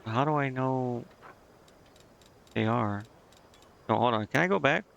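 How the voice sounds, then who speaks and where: A man's voice: mid-range voice, a little slow, creaky, fairly bright tone, muffled recording. A man giving casual live gameplay commentary, thinking aloud to himself in a hesitant, muttering way. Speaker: game streamer.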